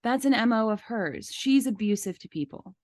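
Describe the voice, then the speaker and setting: A woman's voice: fairly high pitch, lively intonation, moderate pace, darkish tone, no echo. Indignant woman podcaster, close dry sound. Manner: indignant, emphatic. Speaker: podcast host. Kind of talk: casual opinionated podcast chat.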